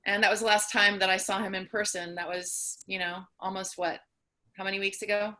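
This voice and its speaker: Woman theater maker doing a relaxed q&a on a video call, bright clear-cut voice. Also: mid-range voice, fairly quick. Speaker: playwright and performer in online post-show Q&A. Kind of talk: casual Q&A talk.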